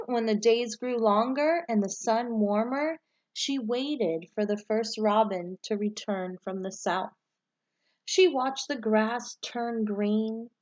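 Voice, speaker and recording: A woman with a somewhat high voice speaks as a teacher doing a read-aloud for children. Her delivery is instructional and aimed at young listeners. The recording is a little dull and lo-fi. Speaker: teacher reading aloud to a class.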